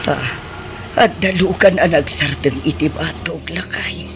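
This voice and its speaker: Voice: mid-range voice, measured pace, natural voice. A performer with an Ilocano accent playing an ailing, apologetic elderly aunt in a radio drama. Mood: curious. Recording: faint noise on radio drama recording.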